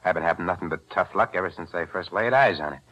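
A hard-bitten killer talks with cool, menacing calm in streetwise slang. His low voice carries a sharp, bright edge. It comes through as an old radio drama broadcast. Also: fast, highs cut off. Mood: wary. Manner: tough streetwise slang, coolly threatening. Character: menacing male crook, cold killer. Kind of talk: 1940s radio acting.

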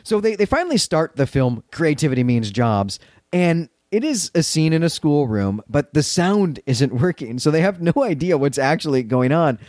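A man's voice: on the high side, big pitch swings, normal speed, a little mellow, no echo, clean studio sound. A man co-hosting a podcast, conversationally recapping and analysing a story, explaining and rephrasing his points as he goes. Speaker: podcast co-host.

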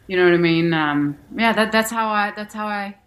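A woman's voice: mid-range voice, normal speed. A woman from Denmark, an actress and musician, answering interview questions candidly with many restarts and fillers.

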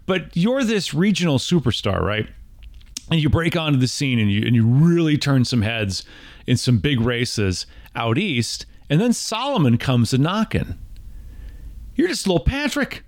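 A man's voice: medium pitch, sing-song, unhurried, no echo, clean studio sound. A man hosting a casual interview podcast, teasing his guest and building up his story in a playful, dramatic way. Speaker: podcast host.

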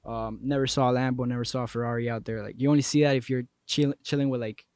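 A man's voice: medium pitch, steady tone, quick pace, darkish tone, clear, resonant tone, no echo, clean studio sound. A young man from Colombia, a native Spanish speaker, chatting casually as a podcast guest in a laid-back, slangy way. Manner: laid-back slangy storytelling with many fillers.

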